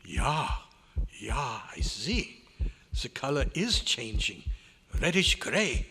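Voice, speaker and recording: Man acting an excitable German doctor, swooping voice. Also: medium pitch, slow pace, slight background noise, full character voice. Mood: insistent. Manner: dramatic, emphatic, formal diction. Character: excitable German doctor, authoritative male research scientist. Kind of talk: old-time radio horror acting. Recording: live hotel meeting room, long echo.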